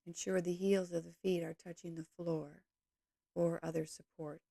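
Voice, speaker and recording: A woman leading a guided yoga nidra meditation, speaking calmly and gently in slow, measured short phrases. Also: lowish voice, gravelly, dry recording, studio quality.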